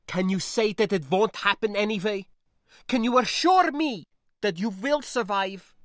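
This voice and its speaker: Man voicing a proud, high-pitched fiery young woman. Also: a little slow, fairly bright tone, dry recording, studio quality. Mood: indignant. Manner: formal, clipped phrasing without contractions.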